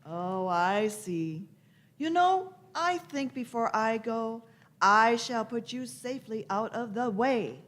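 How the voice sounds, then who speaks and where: A woman playing a shrewd, teasing time-traveling doctor in a radio play, deliberate and knowing. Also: fairly high pitch, expressive, fairly bright tone. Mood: mocking. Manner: deliberate, knowing, punctuated with hmms. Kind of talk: live radio-play acting.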